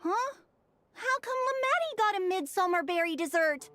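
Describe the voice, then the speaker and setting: A woman voicing a small, eager, pouty child in a video game, with a squeaky, childlike delivery. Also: very animated, normal speed, bright, forceful tone, no echo, faint music behind, exaggerated voice. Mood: pouty, surprised. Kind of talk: scripted video game dialogue.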